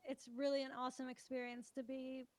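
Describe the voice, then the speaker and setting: A woman's voice: sits high, completely flat delivery, brisk, dry recording. A woman from Canada, a country musician, chatting casually as an interview guest.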